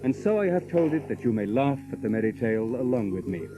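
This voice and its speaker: An actor serving as narrator of a merry old English legend, telling it in measured, literary storybook language. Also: male voice, medium pitch, big pitch swings, loud music behind the voice, lightly acted, poor audio. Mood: genial storytelling.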